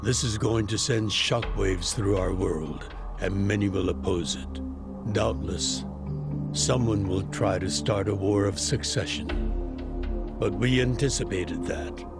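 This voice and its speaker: A man playing a calm, shrewd older yakuza patriarch in a video game, gravelly and measured. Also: lowish voice, loud music behind the voice. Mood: calm, knowing. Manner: measured, knowing. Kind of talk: video game cutscene acting.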